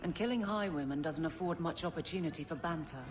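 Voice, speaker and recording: A woman's voice: low voice, fast, natural voice. A woman voicing a stern, blunt female guard captain who is awkward about romance. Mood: flustered. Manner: blunt, self-critical. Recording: game cutscene with music behind.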